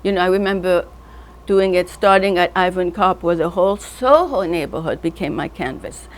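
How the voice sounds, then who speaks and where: An older woman from North Africa with a fairly low-pitched voice, telling an interviewer loose, rambling stories. She wanders between names and memories and breaks into laughter often. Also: normal speed. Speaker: artist being interviewed. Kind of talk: casual reminiscing interview.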